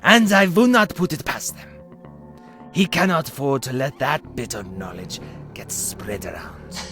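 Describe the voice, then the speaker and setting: Man playing a slow, theatrical sly hunter. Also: medium pitch, expressive, a bit bright, soft background music. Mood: grave. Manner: deliberate, formal, mock-polite, dramatic pauses. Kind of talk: audio drama acting.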